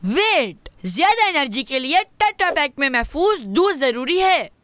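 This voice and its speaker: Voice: sits high, big pitch swings, a little slow, fairly bright tone, no echo, full character voice. A performer voicing a helpful, earnest young boy in a promotional children's cartoon, explaining things in a slogan-like way. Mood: eager.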